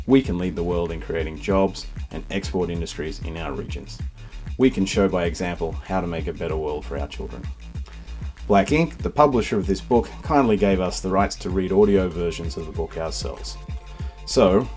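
An Australian man with a deep voice delivers a scripted audiobook introduction as the author. His voice sits low, with music playing underneath. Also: darkish tone. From Sydney, Australia.

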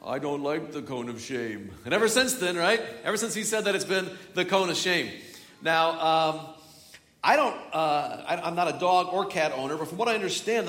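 A man's voice: fairly high pitch, fairly bright tone. Male pastor, casual joking sermon delivery, long hall reverb. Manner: chatty, joking, with frequent restarts. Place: large church hall. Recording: echoey church hall from far off.

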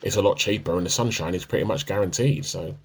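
A man's voice: low voice, fast, breathy edge. An amateur actor, a man, playing an easygoing, evasive husband in a conversational domestic radio play. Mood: easygoing banter. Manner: conversational, bantering. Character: easygoing, evasive husband, bad at keeping secrets.

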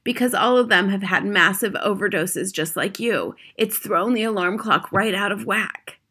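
A prim, composed old grandmother, voiced by a woman reader. She speaks in a bright, firm, matter-of-fact storybook manner. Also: medium pitch, expressive, moderate pace, slightly rough. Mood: knowing, brisk.

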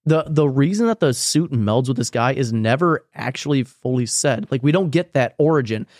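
A man with a middle-pitched voice talks as a podcast co-host, recorded close and dry. He reviews in a loose, opinionated way, leaning on repeated phrases and tossing in casual swearing. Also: animated, normal speed, darkish tone, no room sound.

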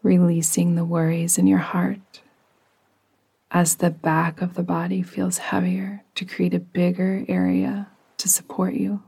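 A woman guiding a relaxation meditation in a soft, breathy, partly whispery voice with a soothing, gentle, unhurried manner. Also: fairly low pitch, level tone. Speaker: yoga nidra meditation guide.

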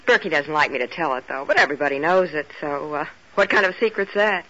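A woman's voice: deep voice, lively intonation, fast, bright, forceful tone, exaggerated voice. A woman playing a seductive, evasive femme fatale with a coy, hesitant manner in 1940s radio drama. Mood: coy, breezy. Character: Blanche, toy-sized seductive, evasive brunette femme fatale. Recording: muffled old radio recording.

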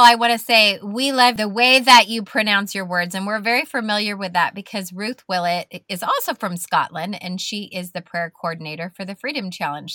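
A woman hosting a faith-based podcast interview, speaking effusively and warmly praising her guest. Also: medium pitch, no room sound, studio quality. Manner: effusive, praising, drawn-out phrasing. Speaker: podcast host.

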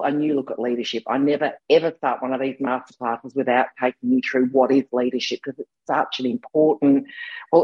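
An Australian woman in her sixties with a husky voice, hoarse from a cold, presenting a chatty online masterclass with rambling restarts over a video call. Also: very deep voice, no room sound, dull audio. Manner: warm, rambling, with frequent restarts.

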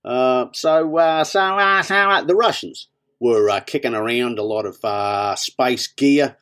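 A man rambling in a deadpan comic monologue, halting and hesitant, often repeating words and trailing off. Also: mid-range voice, clean tone. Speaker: comedy podcast co-host.

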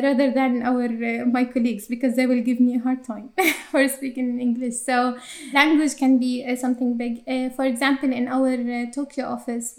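A woman's voice: high-pitched, darkish tone. A woman with an Arabic accent, an Arabic native speaker, giving an earnest talk on workplace inclusion, with frequent hesitations and restarts. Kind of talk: prepared talk.

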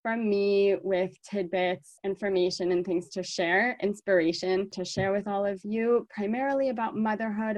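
Slow-paced American woman hosting a podcast. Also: medium pitch, no room sound. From born in South Africa, raised in the United States. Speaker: podcast host. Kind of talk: solo podcast talk to listeners.

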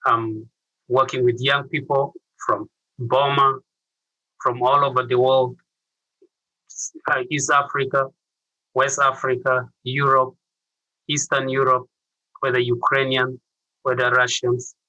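A man's voice: medium pitch, little pitch movement, slow pace, long pauses, bright, forceful tone, dry recording, dull audio. A middle-aged man with a Ugandan accent, telling his story earnestly as an interview guest. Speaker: interview guest, youth mentor.

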